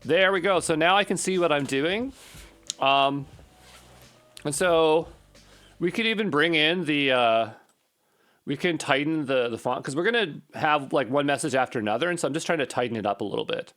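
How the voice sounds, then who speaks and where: A man's voice: fairly high pitch, lively intonation, normal speed, fairly bright tone. A man giving an informal coding walkthrough, thinking aloud and muttering to himself as he works. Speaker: coding tutorial presenter.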